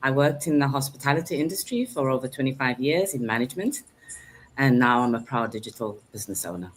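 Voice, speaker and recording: Older woman, from Zambia, grandmother, unhurried, faint background noise. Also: a bass voice, expressive, rough recording. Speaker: panel guest.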